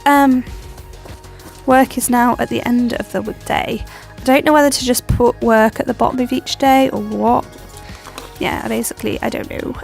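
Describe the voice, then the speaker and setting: A woman's voice: fairly high pitch, vocal fry. A young woman with a stuffy cold, chatting and rambling with lots of ums as she decorates her planner. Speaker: planner vlogger. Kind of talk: chatty plan-with-me narration.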